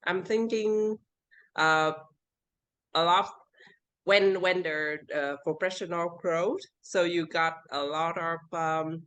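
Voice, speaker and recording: A woman with a Vietnamese accent speaking English as a second language, answering interview questions haltingly and searching for words. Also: fairly low pitch, steady tone, a bit bright. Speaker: interview guest. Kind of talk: casual interview.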